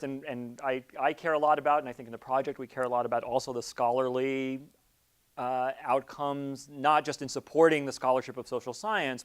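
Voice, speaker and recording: A middle-aged man giving an informal orientation talk as an academic leader, halting and repeating words as he thinks aloud. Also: mid-range voice. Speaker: academic project leader, theorist. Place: seminar room. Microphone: lapel mic.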